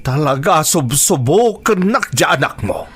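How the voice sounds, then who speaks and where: A man with a Filipino accent playing a stern, hurt farmer father in a melodramatic radio drama, reproachful and quick to anger. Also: a little high, lively intonation, husky. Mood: bitter. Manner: reproachful, emotional.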